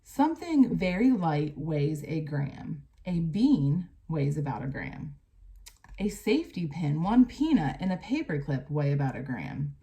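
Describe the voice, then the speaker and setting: An American woman with a slightly low voice reads aloud as a teacher. She explains things plainly and speaks directly to young pupils, moving between the text and her own asides. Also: very animated, measured pace, mellow.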